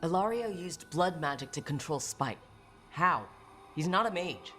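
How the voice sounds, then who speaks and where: A woman's voice: sits low, expressive, unhurried. A woman playing a blunt, wry adventurer in a video game, with music under the voice. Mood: disbelieving.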